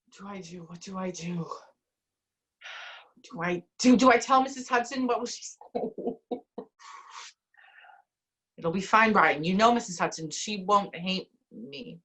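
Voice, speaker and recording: A woman's voice: medium pitch, slow pace, long pauses, a bit bright, breathy edge, pitched below the performer's own voice. A woman voicing a forgetful young man who is apologetic, hesitant and tearful, in an amateur audio drama. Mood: panicked guilt. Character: guilt-ridden young man, forgetful friend.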